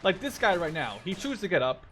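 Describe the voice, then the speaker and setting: A young man in his twenties with a slightly high voice talks through a video game. He rambles casually, thinking aloud as he goes and swearing offhandedly. There is some faint noise behind his voice. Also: sing-song, a little fast, bright, forceful tone. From Netherlands. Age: 20s. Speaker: gaming YouTuber. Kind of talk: casual let's-play commentary.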